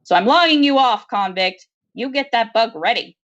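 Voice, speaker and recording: A woman's voice: a little high, expressive, moderate pace, fairly bright tone, highs cut off. A woman playing a commanding female prison colony warden in a story reading, giving curt, bossy orders. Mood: impatient, commanding.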